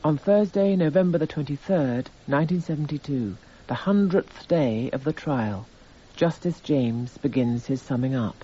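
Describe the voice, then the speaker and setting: An actor narrating a courtroom radio drama in a sober, scripted documentary style. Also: male voice, on the high side, moderate pace, mellow, breathy edge. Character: sober male narrator announcing stages of a trial. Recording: muffled, hissy radio drama recording.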